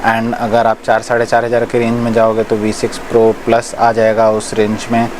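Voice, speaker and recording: A man's voice: on the low side, monotone, normal speed. A man from India giving a casual, explanatory product demonstration, mixing English words into his talk. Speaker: shop presenter reviewing riding gear. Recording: clear background noise, vehicle sounds.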